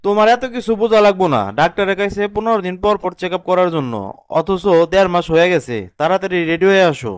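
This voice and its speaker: A man's voice: high voice, quick pace, clear, resonant tone, no echo, clean studio sound, close to an everyday voice. A man playing an earnest, protective young husband in a cartoon, reasoning and lecturing in a colloquial Bangladeshi accent. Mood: caring, reassuring. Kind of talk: cartoon drama dialogue.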